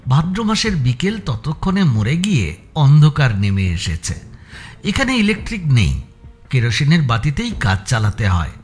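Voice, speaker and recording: A man narrates a scripted suspense story in a measured storytelling manner. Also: a little high. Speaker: audio story narrator and channel presenter.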